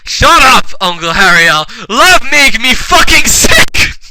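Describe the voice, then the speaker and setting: A man voicing a gloating, hot-tempered evil uncle in a comic fanfiction reading, loud, theatrical and menacing. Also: very high-pitched, very animated, slow pace, heavy background noise, voice pushed up, heavily acted. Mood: enraged.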